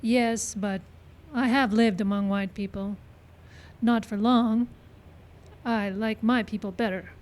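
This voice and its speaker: Woman acting a proud, high-pitched middle-aged frontier daughter. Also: fairly even intonation, takes it slowly, a little mellow. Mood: solemn, dignified. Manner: formal, simple sentences without contractions. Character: proud, solemn middle-aged Pawnee daughter of old man. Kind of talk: old-time radio western acting. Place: live stage show in a park.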